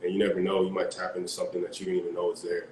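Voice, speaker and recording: A man's voice: deep voice, monotone, very fast, darkish tone, roomy sound, a little hiss or hum. A young man, a music artist and producer, talking casually on a video call from a small room at home, laid-back with lots of fillers.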